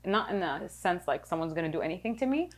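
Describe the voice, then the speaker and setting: A woman's voice: fairly low pitch, big pitch swings, fast talker. A woman nutritionist from Lebanon, raised in Kuwait, talking chattily as a podcast guest. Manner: chatty, many fillers, reflective. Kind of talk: casual interview chat.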